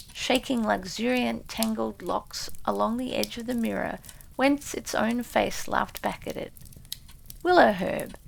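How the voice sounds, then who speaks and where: A woman reading a classic storybook as an audiobook narrator, in a measured, expressive way. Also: fairly high pitch, vocal fry, slight background noise, poor audio.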